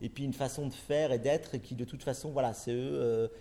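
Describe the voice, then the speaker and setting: A man's voice: mid-range voice, steady tone, fairly quick, dark tone. A middle-aged man from France, a film director answering interview questions in long, rambling turns full of restarts and fillers.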